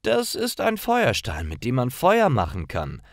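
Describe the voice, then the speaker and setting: An emphatic young woman from a storybook, acted out by a man narrator. The pitch is lifted well up and swings freely, with words drawn out for weight. The sound is dry and close. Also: wildly swooping pitch, unhurried, no room sound. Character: young woman on a cycling holiday, exclaiming and explaining.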